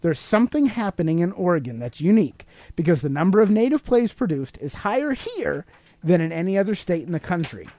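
A man's voice: high-pitched, big pitch swings, fairly quick, mellow, no room sound. An American man hosting a podcast, talking casually and sometimes reading aloud in an informal radio style. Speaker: podcast host.

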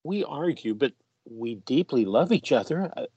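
Male actor as old devoted husband, fantasy radio play: husky, halting, wavering pitch, emotional, dry sound. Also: animated, dark tone, no echo, lo-fi sound. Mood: pleading. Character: weary, pleading old husband. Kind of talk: fantasy audio drama acting.

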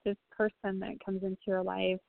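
A woman psychic medium giving a reading on a phone-in talk show, speaking gently and reassuringly with frequent pauses and ums. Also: mid-range voice, completely flat delivery, gravelly, no room sound. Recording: tinny phone line, dry.

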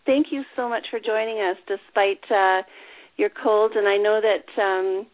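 A woman's voice: medium pitch, fairly even intonation, a little slow. A woman hosting a podcast interview from her office, talking in a chatty, upbeat way with frequent um and uh fillers. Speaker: podcast host. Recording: tinny phone line.

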